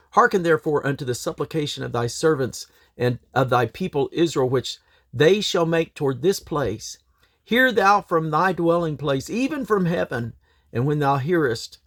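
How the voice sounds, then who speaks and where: A man reads aloud from the King James Bible in a solemn, measured, declamatory way, phrase by phrase. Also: a little high, fairly bright tone, dry recording, studio quality. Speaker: scripture reader and host.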